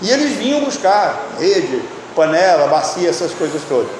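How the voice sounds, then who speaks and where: A high-pitched man preaches in a teaching style, turning to the congregation with questions. His voice rings through a big hall with a long reverb tail, and a faint hiss sits underneath. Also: sing-song, normal speed. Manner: teaching, asks the listeners questions. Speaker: pastor and Bible teacher. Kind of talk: sermon.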